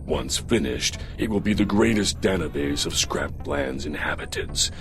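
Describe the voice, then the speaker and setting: Low creaky robot boss. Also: male voice, lively intonation, music in the background, heavily acted. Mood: commanding, secretive. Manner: bossy, deliberate, giving orders. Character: commanding male robot newspaper boss, gruff and scheming. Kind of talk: video game character acting.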